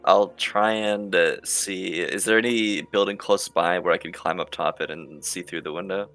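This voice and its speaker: A man's voice: low voice, steady tone, moderate pace, a bit bright, soft background music. A man chatting casually as a player in a tabletop roleplaying game.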